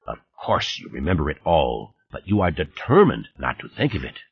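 A man playing a sarcastic old man with swooping pitch. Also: big pitch swings, moderate pace, vocal fry, highs cut off, full character voice. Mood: irritated sarcasm. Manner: ironic, taunting, rhetorical questions, formal unidiomatic phrasing. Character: sarcastic, irritable old man, needling and theatrical.

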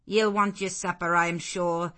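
Woman reading as a gruff old servant. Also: fairly low pitch, level tone, moderate pace, a bit bright, close to an everyday voice. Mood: brisk. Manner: gruff and plain-spoken. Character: blunt suspicious elderly woman servant.

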